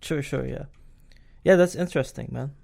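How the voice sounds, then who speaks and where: A man hosting a podcast interview, asking questions in a halting way with many fillers and restarts. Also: mid-range voice, creaky, a little mellow. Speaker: podcast host. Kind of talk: casual interview chat.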